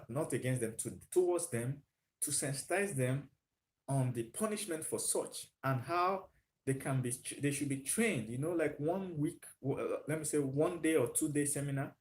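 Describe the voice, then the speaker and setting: A man's voice: a little high, a little hiss or hum. Man talking live with viewers. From Nigeria. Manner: thinking aloud with frequent fillers; reads and answers viewer comments. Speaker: live stream host. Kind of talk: casual live commentary.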